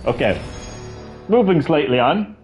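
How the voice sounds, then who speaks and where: A man's voice: on the high side, animated, measured pace, darkish tone, faint music behind. A man, chatting casually while he plays a fighting game, rambling with lots of fillers and restarts. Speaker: gaming streamer. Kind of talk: casual gameplay commentary.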